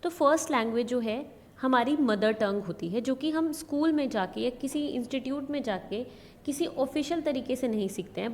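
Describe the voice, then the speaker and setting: A woman's voice: fairly high pitch, a little mellow. A woman with a North Indian accent giving an explanatory lecture, mixing in English terms and repeating key points in new words. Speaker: education lecturer. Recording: echoey hall reverb, background noise.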